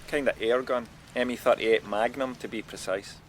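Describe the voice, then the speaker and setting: A man's voice: mid-range voice, steady tone, normal speed, slight background noise, close to an everyday voice, rough recording. Clipped male detective played by an actor. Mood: businesslike, grim. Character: matter-of-fact male police detective inspector. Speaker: police detective inspector. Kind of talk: radio crime drama acting.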